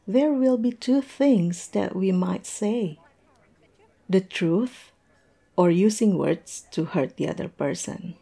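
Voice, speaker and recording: Woman acting tipsy female coworker, audio roleplay, slightly low voice, slow halting delivery, musing, teasing, observant. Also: gravelly, warm tone, exaggerated voice. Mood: reflective. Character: tipsy, observant young woman chatting with coworkers over drinks. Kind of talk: intimate audio roleplay, conversational monologue.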